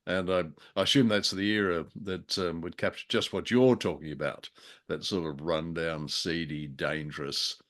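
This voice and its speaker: A man with a low voice talks casually as a review co-host. He circles around his phrases hesitantly, slipping in dry, wry asides as he goes. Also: fairly even intonation, normal speed, a bit bright. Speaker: podcast co-host reviewing old radio plays.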